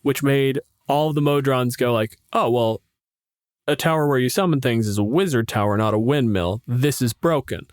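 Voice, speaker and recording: A man's voice: medium pitch, moderate pace, clean tone, no echo, studio quality. Man co-hosting a podcast, blunt and profane. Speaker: podcast co-host and game master. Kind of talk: casual podcast banter.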